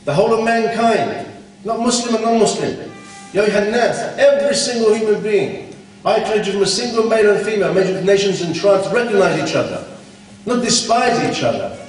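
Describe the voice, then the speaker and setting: A man's voice: sits high, lively intonation, quick pace, distant mic, soft background music. Scolding man in an echoey hall. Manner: blunt, scolding, rhetorical. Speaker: conference speaker. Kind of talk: Islamic talk. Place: conference hall.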